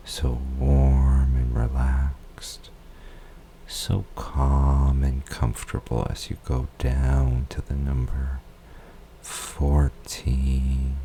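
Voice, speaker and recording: A man guiding a hypnosis countdown in a soft, soothing voice, drawing words out and slipping into whispers. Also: very deep voice, a little hiss or hum. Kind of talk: slow guided hypnosis countdown.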